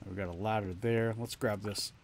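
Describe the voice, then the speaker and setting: A man's voice: lowish voice, steady tone, normal speed, a little hiss or hum. A man giving casual live gameplay commentary, thinking aloud in short, slangy remarks. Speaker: video game streamer. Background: game music.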